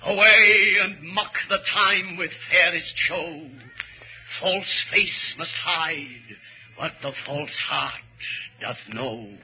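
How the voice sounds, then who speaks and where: A man's voice: on the high side, slow, heavy background noise. An actor playing a guilt-ridden, ambitious nobleman in 1940s radio Shakespeare, with a grave, declamatory, theatrical manner. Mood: grimly resolved. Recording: dull lo-fi 1940s radio recording.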